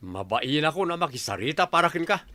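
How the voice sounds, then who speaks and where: A man's voice: on the high side, very animated, quick pace, sharp tone, a little hiss or hum. A man acting as an exasperated son in an Ilocano comedy radio drama, nagging and reproachful, with an Ilocano accent. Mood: scolding.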